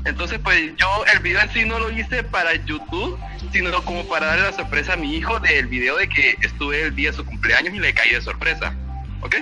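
A man's voice: fairly high pitch, fairly quick, bright, forceful tone, faint music behind, low-quality recording. A young Venezuelan man with a Táchira border accent, a rambling interview guest who often repeats his words. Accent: Venezuelan Andean, from Táchira near the Colombian border. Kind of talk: casual interview answers.

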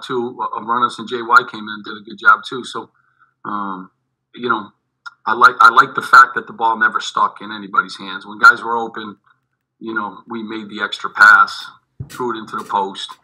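A middle-aged man, a head basketball coach, answering postgame questions over a video call, halting and repeating his words, with many fillers. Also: fairly low pitch, sharp tone, rough recording. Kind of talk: postgame press conference answers. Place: indoors in front of a team backdrop. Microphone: video call webcam.

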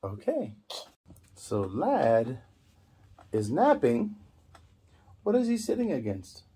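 A man's voice: mid-range voice, huge pitch swings, slow pace, warm tone, husky. A middle-aged man guiding young children through reading, explaining in short simple phrases and prompting with questions. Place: small indoor room at a table.

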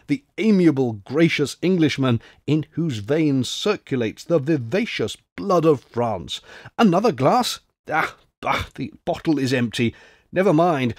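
A man voicing a boisterous, crafty old French ex-soldier in an audiobook, all exclamations and flattery. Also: medium pitch, no room sound, clean studio sound. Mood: jovial. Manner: blustering, exclamatory, drops into confidential tones. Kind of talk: audiobook character voice.

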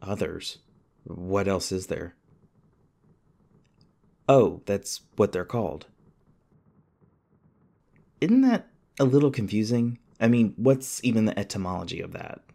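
A man playing a shaken, apologetic young human in an audio roleplay, speaking hesitantly with stammers and halting phrases. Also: on the low side, big pitch swings, gravelly, dark tone, exaggerated voice. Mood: bewildered. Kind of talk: ASMR audio roleplay, naturalistic acting.

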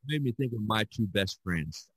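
Male podcast host, lowish bright voice, rambling casual talker, dry close sound. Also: expressive, normal speed, breathy edge, no echo, low-quality recording. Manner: rambling, repeats himself.

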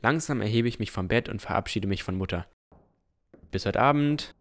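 A man playing an earnest, pensive village boy of sixteen, narrating a fantasy audio drama in a reflective, deliberate way. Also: lowish voice, fairly bright tone.

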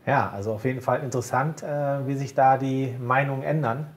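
A man co-hosting a casual market chat, calmly walking through stock charts point by point. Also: medium pitch, brisk. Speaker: co-host of a broker's market show.

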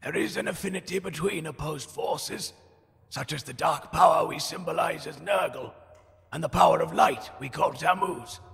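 An actor playing an old, grave and urgent male seer in a fantasy audio drama, with a raspy voice and a portentous, archaic delivery. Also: fairly high pitch, normal speed, fairly bright tone, no echo, exaggerated voice. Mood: solemn. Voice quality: raspy, creaky.

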